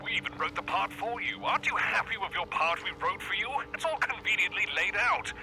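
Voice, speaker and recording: A pompous, swooping ultra-rich tycoon. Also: male voice, high voice, animated, brisk, rough recording. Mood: haughty, boastful, then indignant, scornful. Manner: pompous, condescending, theatrical. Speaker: interviewee on a radio call-in. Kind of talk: satirical radio interview acting. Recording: music behind the voice.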